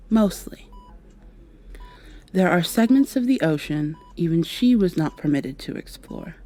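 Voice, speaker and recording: A woman playing a devoted, worried partner of a sick loved one, speaking tenderly and haltingly to the listener in an intimate ASMR roleplay. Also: low-pitched, dark tone. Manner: tender, soothing, halting and reassuring.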